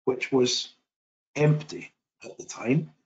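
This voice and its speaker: A middle-aged man giving an online presentation in a modest, measured way, often restarting his sentences. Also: medium pitch, fairly even intonation, darkish tone, muffled recording. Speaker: webinar presenter.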